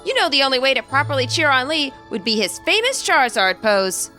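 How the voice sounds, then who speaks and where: A woman voicing an excitable, friendly, boastful teenage boy from a game, talking in an eager, chummy way. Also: sits high, a little fast, faint music behind, heavily acted. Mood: eager, excited.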